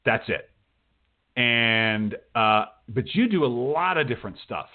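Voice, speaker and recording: A man's voice: mid-range voice, animated, unhurried. A man hosting a talk show in a casual, informal, encouraging way, often repeating phrases for emphasis. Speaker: talk show host, writing teacher. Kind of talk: casual interview chat. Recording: thin phone-like radio call audio.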